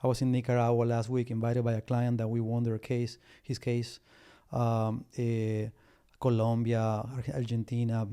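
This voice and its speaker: Halting Peru-born man with a mellow voice. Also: medium pitch, completely flat delivery, clean tone. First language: Spanish. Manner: halting, frequent restarts and fillers. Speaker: guest, business litigation lawyer. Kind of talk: casual interview talk.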